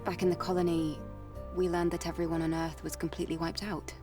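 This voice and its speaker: A woman plays a reserved, mission-driven soldier who speaks plainly, her voice a little low for a woman. She sounds set back from the mic, with music clearly behind her in this game cutscene. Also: level tone, fast, close to an everyday voice. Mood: curious. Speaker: game character. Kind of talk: video game cutscene dialogue. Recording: game audio, slightly distant, music behind.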